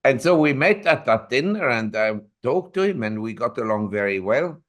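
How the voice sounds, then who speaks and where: A man's voice: mid-range voice, very animated, moderate pace. A man in his seventies, a newsletter publisher and interview guest, telling long rambling anecdotes with self-corrections. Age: 70s.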